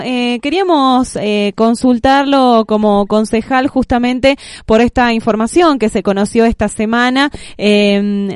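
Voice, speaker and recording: A woman's voice: on the high side, a little mellow, smooth, dry recording, studio quality. A woman with an Argentine accent hosting a live radio news interview, feeling her way through her phrases.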